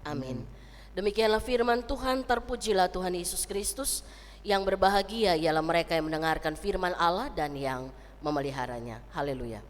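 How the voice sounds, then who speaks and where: A woman's voice: on the low side, lively intonation, moderate pace. A woman pastor leading a church service, her voice nasal and congested from a cold, chatting easily with the congregation. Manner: chatty with the congregation, tags lines with "ya" and "gitu kan". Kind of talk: church service prayer and sermon. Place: church sanctuary. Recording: long church hall reverb.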